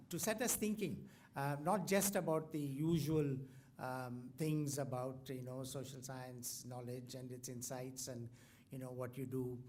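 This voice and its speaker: A man from India, with Tamil as his first language, introduces a guest lecturer at a university event. He speaks in halting sentences, filling the pauses with ums. A faint hum of room ambience sits behind him. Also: medium pitch, darkish tone. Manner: hesitant, full of ums and restarts. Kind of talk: formal speech with many fillers.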